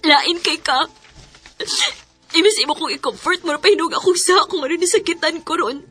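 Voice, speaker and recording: Woman doing an emotional young heroine, high bright voice, radio drama. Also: normal speed, faint music behind. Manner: emotional, expressive. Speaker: lead character.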